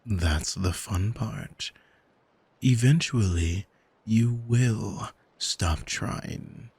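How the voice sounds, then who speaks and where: A man playing an arrogant, manipulative demon in an ASMR roleplay, coaxing in a smug, soothing, hypnotic manner with a breathy, husky voice. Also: fairly low pitch, a little mellow, dry recording, heavily acted. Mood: smug, taunting. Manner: smug, deliberate, soothing, commanding. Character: arrogant male demon, soul-owning manipulator, calm and seductive.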